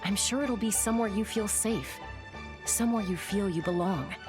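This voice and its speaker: A woman playing a caring, thoughtful young woman in a video game, steady and reassuring. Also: medium pitch, moderate pace, music in the background, close to an everyday voice.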